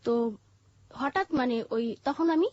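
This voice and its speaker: A woman with a Bangladeshi accent telling her life story in an interview, haltingly, with pauses and repeated phrases. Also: sits high, darkish tone. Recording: muffled, dull lo-fi audio.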